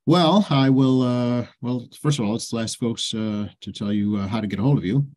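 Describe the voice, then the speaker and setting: A man's voice: mid-range voice, normal speed, darkish tone. A man hosting a local talk show, interviewing a guest with brief affirming replies.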